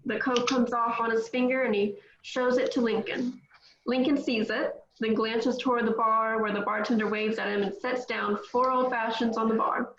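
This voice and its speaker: Woman narrating a script table read; slightly high voice, roomy sound. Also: fairly even intonation, moderate pace, a bit bright, dull audio, slight background noise. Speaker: narrator reading stage directions.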